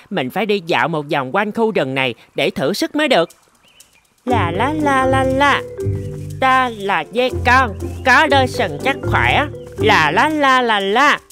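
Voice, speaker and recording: A cocky young goat from an animated fable, voiced by an adult actor. The delivery is sing-song and very animated, boasting one moment and begging the next. Music plays underneath. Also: medium pitch, slow pace. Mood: boastful, singing. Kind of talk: Vietnamese animated fable dialogue.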